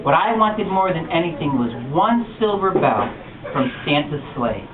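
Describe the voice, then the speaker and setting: A man reading a picture book aloud at a bookstore storytime, telling it with expressive animation. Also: a little high, measured pace, crowd noise. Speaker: storytime reader. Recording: distant echoey lo-fi room recording with noise.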